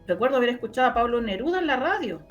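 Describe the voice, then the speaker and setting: A woman's voice: on the high side, sing-song, rapid-fire, muffled recording, soft background music. A Chilean woman answering interview questions conversationally, emphatic and repeating phrases for stress. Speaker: interviewed radio drama creator.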